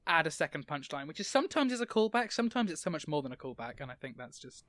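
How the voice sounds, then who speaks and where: A man's voice: on the high side, very animated, fast talker, fairly bright tone, no room sound. A man from England, a podcast guest, talking enthusiastically about comedy with lots of restarts. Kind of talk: casual chat.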